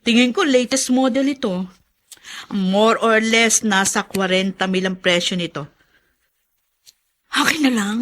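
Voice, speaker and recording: An actress playing an exasperated, scornful, money-minded wife who scolds in a radio drama. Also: female voice, a little high, measured pace, long pauses, fairly bright tone. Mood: eager, wheedling.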